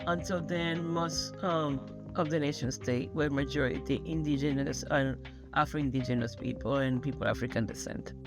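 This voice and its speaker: A woman's voice: very deep voice, steady tone, normal speed, over music. A woman from Venezuela, answering interview questions emphatically, often restarting her phrases. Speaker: guest scholar.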